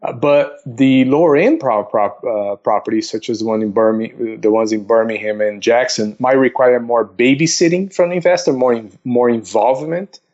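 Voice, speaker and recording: A man with a medium-pitched voice talks as a guest expert on a podcast. He explains things step by step, stopping and repeating words as he goes. The sound is dull and lo-fi. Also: moderate pace, few pauses, darkish tone. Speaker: investment counselor, podcast guest.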